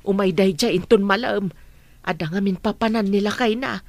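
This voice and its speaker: A woman's voice: medium pitch, normal speed, dry recording, lightly acted. A woman acting a worried, tender mother of grown children in an Ilocano-accented radio drama, speaking in a plaintive, caring way. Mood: concerned.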